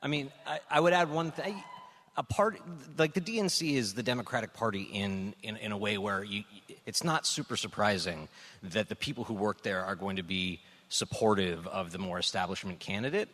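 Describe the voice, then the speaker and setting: Guy with a lowish voice talking politics on a panel, measured and analytical, big echoey room. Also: fairly bright tone. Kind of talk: political discussion at a live show. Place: indoor stage before a live audience. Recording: echoey hall, live stage.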